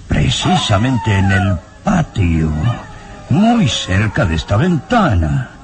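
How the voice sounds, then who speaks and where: An actor playing an anxious elderly professor in an old melodramatic radio serial, talking to himself in gasps and exclamations. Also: male voice, fairly low pitch, wildly swooping pitch, music in the background, heavily acted. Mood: nervous, agitated. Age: older. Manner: gasping, halting self-talk with exclamations.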